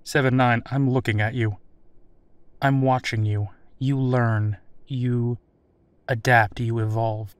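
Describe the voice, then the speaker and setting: A man playing a calm, courteous military captain conducting an interview in an audio drama, conversational and slightly hesitant. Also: mid-range voice, takes it slowly, clean tone, dry recording, natural voice. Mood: probing, patient.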